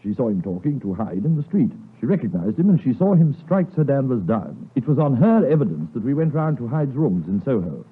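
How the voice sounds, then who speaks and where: An actor playing a stern, loyal British gentleman lawyer in a 1950s radio drama, formal, blunt and emphatic. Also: mid-range voice, very animated, fairly quick, hardly any pauses, slightly rough. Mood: grave. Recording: muffled, lo-fi 1950s radio broadcast.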